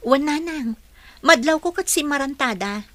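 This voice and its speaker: An actress playing a polite, earnest young office worker in a radio drama, with an Ilocano Filipino accent. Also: female voice, high voice, expressive, normal speed, fairly bright tone.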